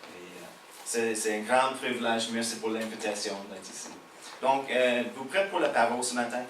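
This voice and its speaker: A man's voice: mid-range voice, fairly bright tone, a little hiss or hum. American man preaching French in echoey hall. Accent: American with Québécois French. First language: English. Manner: halting, many filler sounds, jokes with the audience. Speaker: pastor. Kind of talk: sermon. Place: church hall. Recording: echoey hall heard from a distance.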